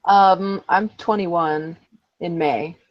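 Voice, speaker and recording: A woman's voice: on the low side, steady tone, drawn-out words, muffled recording. A young woman of twenty-one on a video call, flustered and fumbling her words. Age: young adult. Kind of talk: casual group video chat. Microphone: computer mic on a video call.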